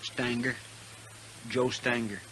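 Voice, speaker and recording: An actor playing a loyal, deferential frontier deputy in a radio western, with a rural Western American twang and a rambling, stammering way of talking. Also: male voice, medium pitch, little pitch movement, slow, sharp tone. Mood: earnest, deferential. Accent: American Western rural drawl. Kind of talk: 1950s radio western acting. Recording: noisy vintage 1950s radio broadcast.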